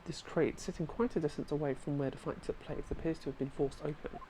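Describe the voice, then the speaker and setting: A woman's voice: low voice, steady tone, fast, dark tone, slight background noise, poor audio. A woman voicing an earnest young male defense lawyer who presses a witness with questions. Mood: probing. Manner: probing, questioning.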